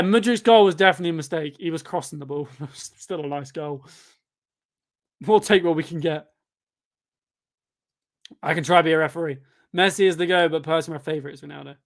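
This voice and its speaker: A twenty-year-old man from Devon with a Devon accent, chatting casually and rambling on a football watchalong stream, with sudden loud outbursts at chances. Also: on the high side. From England. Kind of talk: casual live-stream chat reacting to a match and reading chat.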